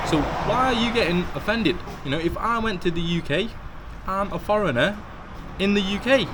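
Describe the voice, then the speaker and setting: A man from Thailand hosting a vlog, ranting candidly and opinionatedly outdoors by a street restaurant, with lots of "you know". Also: a little high. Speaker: vlog host. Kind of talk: casual ranting monologue. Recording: clear street traffic noise.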